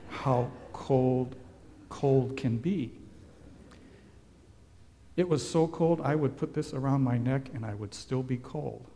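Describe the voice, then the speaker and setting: A man who grew up in Hawaii, giving a gentle children's sermon as a pastor and asking the children questions. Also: medium pitch, a little hiss or hum. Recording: long hall reverb.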